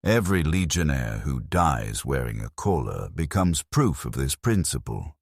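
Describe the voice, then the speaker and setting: A man narrating a dark first-person sci-fi story as a bleak, resigned dead convict soldier, in a grave, measured, deliberate way. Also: a bass voice, big pitch swings, creaky, breathy edge, dry recording, studio quality. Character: bleak, resigned ghost of a condemned legionnaire.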